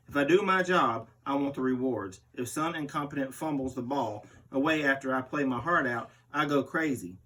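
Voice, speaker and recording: A man's voice: mid-range voice, a bit bright, close to an everyday voice. A man reading aloud as a brutal, boastful barbarian pro wrestler in a menacing promo style. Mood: proud, defiant. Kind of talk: interview read aloud as a wrestler. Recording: distant microphone.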